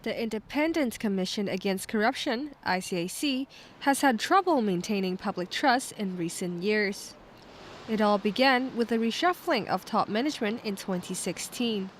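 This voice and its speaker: A woman's voice: mid-range voice, lively intonation, normal speed, slight background noise. A woman working as a TV news reporter, delivering a scripted news narration.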